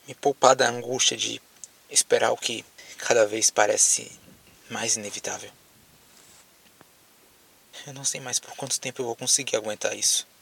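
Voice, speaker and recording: A man acting in an audio drama as a lonely, frightened apocalypse survivor with a Brazilian accent, speaking haltingly as if on a phone call. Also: medium pitch, vocal fry, pushed and bright, husky, far from the mic, full character voice. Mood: resigned despair. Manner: hesitant, halting, repeats words.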